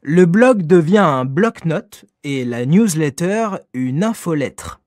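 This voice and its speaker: A man with a fairly high voice explains vocabulary slowly and calmly. He speaks with care in a dry studio sound. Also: dry recording. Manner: clear, measured explanations. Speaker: interviewed language expert. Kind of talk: scripted educational interview.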